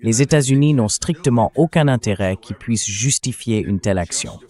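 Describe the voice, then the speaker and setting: A man's voice: medium pitch, lively intonation, normal speed, warm tone. A man with a synthetic-sounding voice giving military and political commentary as a guest, in emphatic, short declarative sentences. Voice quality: AI-generated translation voice.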